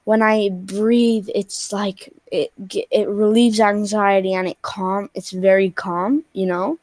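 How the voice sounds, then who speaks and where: A woman's voice: medium pitch, little pitch movement, takes it slowly, darkish tone, no room sound. Young girl, about twelve, from Spain, chatty, lots of "like", video call, dry sound. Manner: chatty, full of "like" and restarts. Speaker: stranger on a video chat. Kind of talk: casual video chat.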